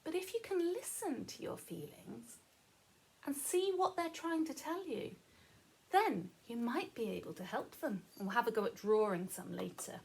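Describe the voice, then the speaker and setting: A woman with a high, slightly husky voice reads a story to young children. Her pitch swings widely in a sing-song, expressive way. She explains things as a teacher would and keeps prompting her listeners with questions. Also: normal speed. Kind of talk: animated children's story read-aloud with explanations.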